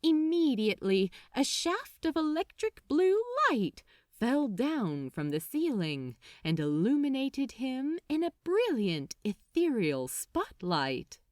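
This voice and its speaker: A woman narrates a story with animated, expressive intonation. She takes her time over each phrase. The sound is dry and close, as in an audiobook. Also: high-pitched, slow pace, no echo. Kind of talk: expressive scripted fiction narration.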